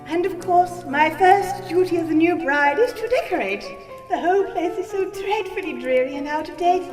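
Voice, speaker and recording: Woman as a very high-voiced gushing bride, echoey. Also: animated, moderate pace, darkish tone, recorded from a distance. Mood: haughty excitement. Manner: affected, genteel, effusive. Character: gushing, snobbish young Edwardian bride reading her diary. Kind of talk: period parody audio drama. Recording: echoey reverberant room, faint music behind.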